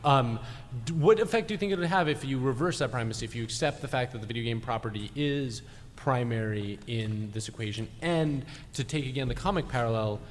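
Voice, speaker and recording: A man's voice: mid-range voice, a little fast, a little hiss or hum. A man on a live podcast panel, steering the discussion in an analytical, conversational way. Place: large convention hall stage. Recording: echoey hall, live on stage.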